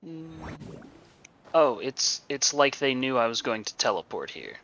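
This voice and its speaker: A man's voice: medium pitch, sing-song, a little slow, dull audio, a little hiss or hum. A man streaming a video game with casual, wisecracking commentary full of playful bravado. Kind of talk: casual live gameplay commentary. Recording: soft game music behind.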